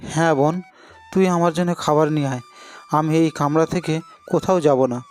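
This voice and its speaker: Man acting a high-voiced, earnest kind-hearted brother. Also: fairly even intonation, moderate pace, darkish tone, faint music behind, lightly acted. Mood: pleading. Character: poor, hungry, kind-hearted elder brother, pleading and devoted. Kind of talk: cartoon morality tale dialogue.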